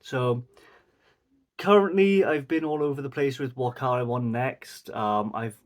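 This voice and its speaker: A man's voice: medium pitch, unhurried. A Welsh man in his twenties from South Wales, rambling through a casual Q&A vlog to a mic and camera with lots of fillers. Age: 20s. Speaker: vlogger. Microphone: mic facing camera.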